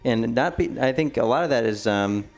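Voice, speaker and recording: A man's voice: medium pitch, animated, normal speed. A man co-casting a live esports match, giving thoughtful analysis with many ums and hedges. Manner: thoughtful, hedging with ums and maybes. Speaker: esports co-caster.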